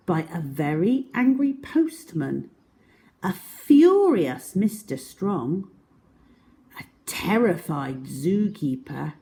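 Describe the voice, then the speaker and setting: A woman reading a picture book to young children as a storyteller, with dramatic pauses and emphasis, speaking directly to her listeners. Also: lowish voice, mellow.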